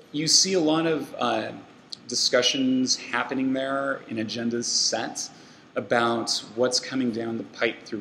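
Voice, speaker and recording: A man's voice: mid-range voice, vocal fry, a bit bright, slight background noise, rough recording. A man giving a casual talk to a meetup audience, rambling with lots of fillers and restarts. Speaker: meetup speaker. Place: meeting room with an audience.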